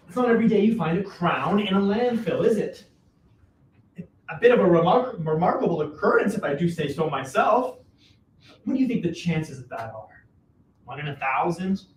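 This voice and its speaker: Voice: mid-range voice, lightly acted. An actor in a dramatic play reading as Joe, a cajoling, exasperated older father with a folksy, repetitive way of talking, recorded at a distance in a roomy space. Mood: pleading. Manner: wheedling, folksy, repeats himself. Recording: roomy, distant mic, faint noise.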